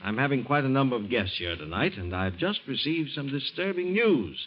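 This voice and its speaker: A man's voice: medium pitch, very animated, normal speed, a little hiss or hum, natural voice. An actor playing a courteous, trusting older father in an old radio drama, genteel and earnest. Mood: brisk, worried. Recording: dull old radio recording.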